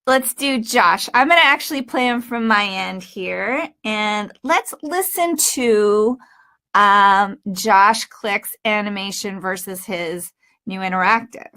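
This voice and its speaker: A woman hosting as a voice-over coach, teaching casually and informally with animated emphasis. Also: medium pitch, takes it slowly.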